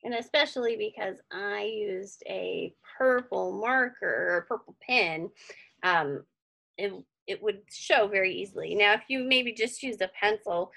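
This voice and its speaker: A woman's voice: medium pitch, creaky, pushed and bright. A woman giving a casual step-by-step craft tutorial for children, chatting and thinking aloud in a reassuring way. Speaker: craft teacher. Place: indoor room at home.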